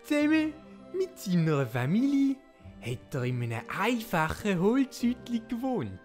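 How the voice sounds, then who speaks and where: A woman's voice: mid-range voice, sing-song, a little slow, darkish tone, faint music behind. A woman with a Swiss German accent narrating a comic audio drama like a storybook.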